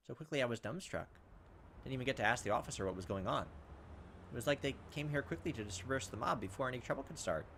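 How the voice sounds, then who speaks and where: A man's voice: mid-range voice, animated, fast, a bit bright, a little hiss or hum. A man hosting a let's play, reading game text aloud and adding casual, wry asides. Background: game music.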